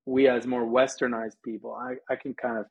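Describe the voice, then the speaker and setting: A man's voice: mid-range voice, flat delivery, brisk, vocal fry, slightly rough, lo-fi sound. An American man from Colorado answering interview questions conversationally, with anecdotes, as a guest from a missions organization. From United States, Colorado.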